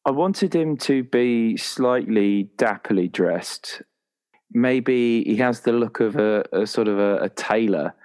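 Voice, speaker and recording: A man's voice: mid-range voice, takes it slowly, a little mellow. A man chatting casually and playfully as a roleplaying player, sometimes slipping into imagined dialogue. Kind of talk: casual chat, inventing a character.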